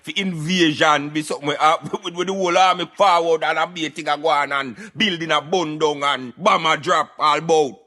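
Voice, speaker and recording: An emphatic Jamaican Rasta played by a man holds forth on a satirical radio show. His voice is highish and forceful, preachy and streetwise, with faint music behind. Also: steady tone, brisk, few pauses. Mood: insistent, preachy. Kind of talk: satirical radio rant in patois.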